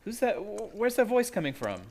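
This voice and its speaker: A man's voice: high-pitched, sing-song, moderate pace, vocal fry, darkish tone, exaggerated voice. An actor playing a tense, hunted younger man in a radio suspense play, earnest and dramatic. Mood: alarmed, despairing.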